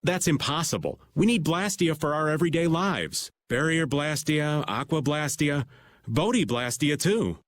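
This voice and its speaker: Wry, colloquial game sidekick, skeptical, raised swinging pitch. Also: male voice, very animated, normal speed. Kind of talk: video game dialogue, colloquial. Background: background music.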